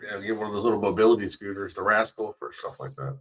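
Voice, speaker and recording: A man's voice: fairly low pitch, expressive, quick pace, distant mic. A man chatting casually as a livestream panelist, with wry, joking remarks. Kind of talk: casual chat.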